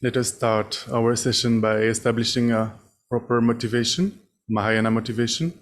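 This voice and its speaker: A man leading a guided meditation as a teacher, speaking in short, measured phrases with long pauses between them. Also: mid-range voice, steady tone, a little hiss or hum. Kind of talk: guided meditation, spoken instruction.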